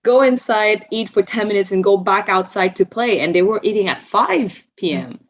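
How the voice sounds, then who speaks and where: A woman's voice: medium pitch, normal speed. Reflective woman, Vietnamese first language. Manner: reflective, searching for words with many restarts. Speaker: podcast guest. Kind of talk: casual conversation. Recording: slightly dull lo-fi sound.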